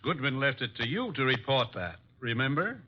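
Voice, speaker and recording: A man's voice: medium pitch, very animated, moderate pace, slight background noise, close to an everyday voice. An actor playing a cold, desperate gunman and murderer in an old radio drama, menacing and clipped. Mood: smug, desperate. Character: cold, desperate gun-toting murderer holding detectives captive. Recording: dull, band-limited vintage radio recording.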